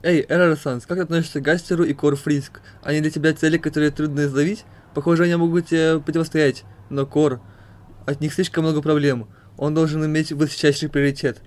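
A man's voice: on the high side, little pitch movement, fast, darkish tone. A man reading questions aloud to a character in an amateur comic dub. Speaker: question reader.

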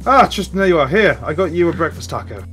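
A man acting the eager, hesitant sidekick in an amateur video game roleplay, chatting away under a loud soundtrack. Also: fairly high pitch, expressive, fast talker. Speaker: player in a Minecraft roleplay. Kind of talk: improvised roleplay dialogue. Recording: loud music behind the voice. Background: loud music.

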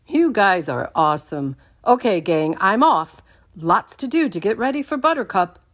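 Lady playing a friendly upbeat farmer guy in a kids book, lively swoops. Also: medium pitch, moderate pace. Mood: cheerful. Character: cheerful, friendly male farmer announcing news.

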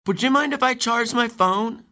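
A man's voice: very high voice, bright, forceful tone. An actor with a male-sounding voice playing an earnest, anxious transgender teenage girl in an audio play, hesitant and apologetic. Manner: hesitant, questioning, quick to apologize. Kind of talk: audio drama acting of a stage play.

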